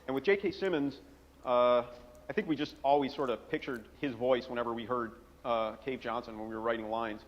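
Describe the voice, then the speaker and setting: A man's voice: medium pitch, a little fast. A man on a conference panel telling anecdotes with lots of fillers, heard in a roomy hall on a dull recording. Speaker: game writer on a conference panel. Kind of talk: panel talk.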